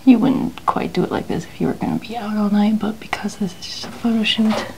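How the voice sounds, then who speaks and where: Softly whispering young woman, mellow. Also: medium pitch. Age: young adult. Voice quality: soft, breathy whispering. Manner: gentle, unhurried narration of small actions. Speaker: ASMR host playing a dress stylist. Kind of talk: ASMR styling roleplay. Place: small indoor studio room. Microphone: small mic clipped at chest.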